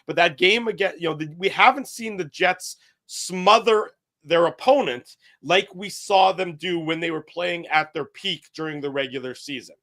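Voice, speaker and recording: A man co-hosting a hockey talk show, laying out his analysis in a measured way. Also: sits high, fairly bright tone. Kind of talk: casual sports talk.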